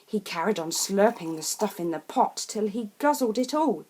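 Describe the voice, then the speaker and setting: A woman reads a rhyming children's picture book in a theatrical, deliberate and emphatic way. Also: medium pitch, expressive, darkish tone, husky. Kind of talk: expressive rhyming storybook narration.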